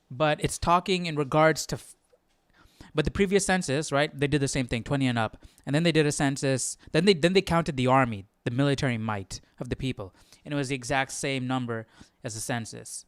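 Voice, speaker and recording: A man's voice: a little high, brisk, clear, resonant tone, no room sound. A man hosting a Bible study livestream, giving casual commentary and thinking aloud as he goes. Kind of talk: casual read-along commentary.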